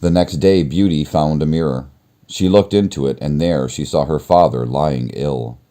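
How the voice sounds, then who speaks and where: A man reading a children's story aloud as narrator, in a measured, expressive storytelling manner. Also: rumbling low. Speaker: storybook reader.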